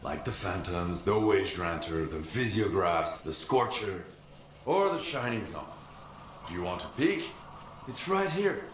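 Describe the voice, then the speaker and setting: A man acting an embittered, defiant loner in a video game cutscene, speaking in a measured, cynical, scornful way. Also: deep voice, animated, a little hiss or hum. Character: embittered, defiant male loner of a forbidden zone. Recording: roomy, echoing game audio.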